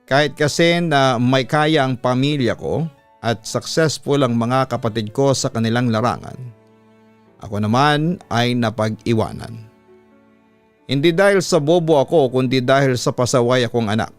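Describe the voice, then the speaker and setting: A man's voice: moderate pace, clean tone. Dramatic male radio storyteller. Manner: theatrical storytelling with sudden pitch lifts. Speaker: radio announcer and host. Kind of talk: dramatic reading of a listener's horror letter. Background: faint background music.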